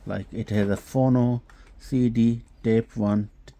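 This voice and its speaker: A man giving an unscripted technical product demonstration, explaining haltingly with frequent hesitations and repeats. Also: mid-range voice, steady tone, dark tone. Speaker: host demonstrating audio equipment.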